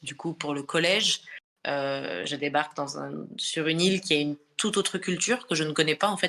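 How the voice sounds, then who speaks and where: A woman's voice: low voice, bright, forceful tone. A woman from the Paris suburbs hosting a casual online group discussion, thoughtful and searching for her words as she goes, on a voice call from home. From France, Paris suburbs. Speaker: host.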